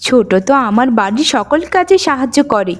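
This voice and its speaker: A woman voicing a patient, dutiful elder daughter-in-law in a cartoon, a gentle peacemaker with a soothing, reasoning manner. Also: sits high, animated, brisk. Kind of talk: Bengali cartoon voice acting.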